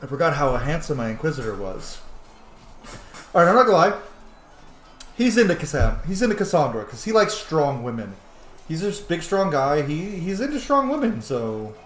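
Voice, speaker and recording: A man's voice: a little high, big pitch swings, moderate pace, a bit bright, faint music behind. A man giving casual, rambling commentary while playing a roleplay game, chatty and full of fillers and asides. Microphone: camera setup at home. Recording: roomy echo.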